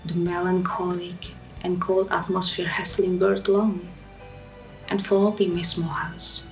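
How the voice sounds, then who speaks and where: A woman's voice: fairly low pitch, level tone, soft background music, poor audio. A woman playing a melancholic young woman haunted by her past, speaking a brooding, dramatic radio drama monologue. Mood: sorrowful, bitter. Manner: slow, brooding, dramatic delivery.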